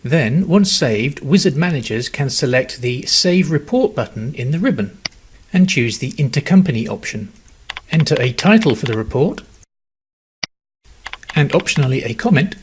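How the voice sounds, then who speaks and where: Slightly husky male software tutorial narrator. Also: mid-range voice. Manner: measured, step-by-step explaining. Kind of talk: scripted instructional narration.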